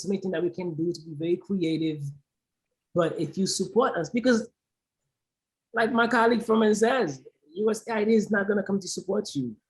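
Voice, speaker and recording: Woman from Haiti, founder on a discussion panel, emphatic and impassioned, mid-pitched voice. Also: rough recording. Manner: emphatic, repeats phrases for stress. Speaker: aid organization founder, panel guest.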